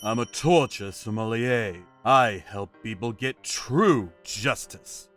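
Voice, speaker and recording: Slow, dramatic male storyteller over music. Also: mid-range voice, a bit bright. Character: vigilante male torturer, calm, righteous, menacing. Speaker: narrator of an animated manga story, in character. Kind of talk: dramatic first-person scripted narration. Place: studio voice-over with music behind.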